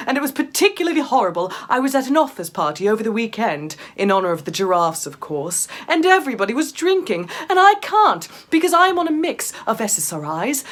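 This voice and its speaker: A woman voicing an aggrieved, self-pitying female snake who complains plaintively and theatrically in a comic monologue. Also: on the high side.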